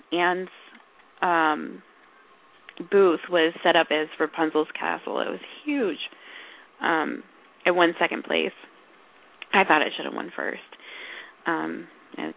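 A woman co-host talks in a loose, rambling way, full of ums and restarts. Her voice is gravelly and a bit hoarse, as if she is losing it. The sound is thin and phone-like. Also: sits low, steady tone, slow, long pauses. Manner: rambling, lots of fillers and restarts. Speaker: podcast co-host. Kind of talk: casual chat. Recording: tinny phone-like call audio.

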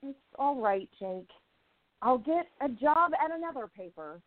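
A woman's voice: sits high, lively intonation, measured pace. A woman playing an independent young newspaperwoman of the 1920s in a radio play, with an earnest, emphatic delivery. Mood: indignant. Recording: tinny phone-like line, roomy echo.

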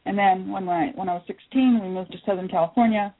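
A middle-aged woman psychologist who grew up in Taiwan, answering interview questions thoughtfully with many pauses and fillers. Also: mid-range voice. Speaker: psychologist guest. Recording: tinny phone line.

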